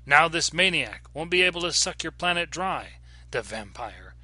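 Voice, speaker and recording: Fierce woman adventurer, done by a male reader: vengeful, commanding, sharp-edged, lifted pitch, audiobook drama. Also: big pitch swings, normal speed, no echo, heavily acted. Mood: vengeful, triumphant. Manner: commanding, forceful. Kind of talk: dramatic audiobook character reading.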